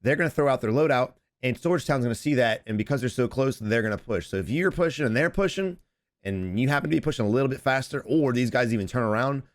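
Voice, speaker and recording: A man in his thirties talks fast and eagerly, piling up tips and repeating himself as he breaks down a match. His voice is slightly hoarse from screaming on stream, and he speaks into a desk microphone at home. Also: medium pitch, lively intonation. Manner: rapid, repetitive, advice-giving. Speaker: gaming coach and streamer. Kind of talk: casual gameplay commentary and coaching. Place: home streaming setup indoors.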